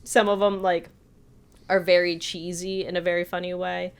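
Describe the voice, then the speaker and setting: A woman's voice: fairly low pitch, level tone, moderate pace, clean tone. A woman co-hosting a podcast, talking through a film review in a casual, chatty, hedging way. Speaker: podcast co-host.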